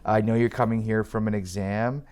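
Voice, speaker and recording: A man's voice: fairly low pitch, fairly even intonation, normal speed, a little mellow, clear, resonant tone. A man from Canada hosting an interview, chatting casually and asking many follow-up questions. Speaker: interview host.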